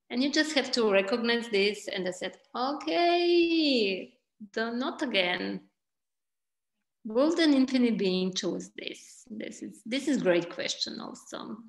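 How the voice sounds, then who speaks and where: A woman speaks slowly and gently in halting English, encouraging her listeners. Her voice sits a little high. The video call audio carries a roomy echo. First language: Croatian. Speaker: class organizer and translator.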